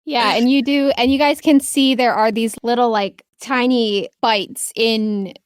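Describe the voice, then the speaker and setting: A woman's voice: on the high side, steady tone, a little slow, clean tone, no echo, studio quality. A woman running a tabletop game, narrating scenes and chatting casually with players as game master.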